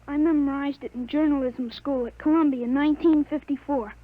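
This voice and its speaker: A woman playing a thirteen-year-old boy with a grown man's mind in a 1950s radio drama, speaking calmly and matter-of-factly on a dull, lo-fi old recording. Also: sits high, fairly quick, lightly acted. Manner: calm, matter-of-fact, self-assured.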